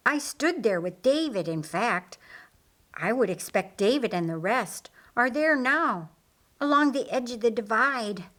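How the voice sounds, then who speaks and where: A woman voicing a reluctant, matter-of-fact brother who explains gravely in a Bible story audiobook. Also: fairly high pitch, animated, measured pace, fairly bright tone, pitched above the performer's own voice. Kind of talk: audiobook character dialogue.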